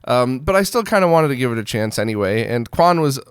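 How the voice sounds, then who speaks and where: A man's voice: mid-range voice, lively intonation, brisk, clear, resonant tone, dry recording, clean studio sound. A man hosting a retro gaming news roundup in an informal, conversational and opinionated way. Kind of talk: casual news roundup commentary.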